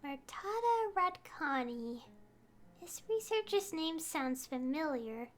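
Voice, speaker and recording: A woman voicing an earnest, composed young traveler in an anime-style video game, speaking in a measured, articulate way. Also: high voice, sing-song, heavily acted. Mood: thoughtful. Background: soft game music.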